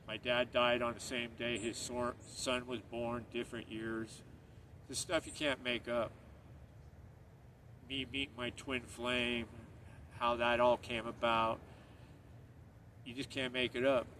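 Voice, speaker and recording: A man's voice: medium pitch, flat delivery, slow pace, long pauses, bright, forceful tone. A middle-aged man from Northern Ireland, hosting his own channel and talking to camera in an earnest, rambling way that circles back on itself. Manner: earnest, rambling, repeats himself. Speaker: channel host. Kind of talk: casual monologue to camera. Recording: distant mic, street noise.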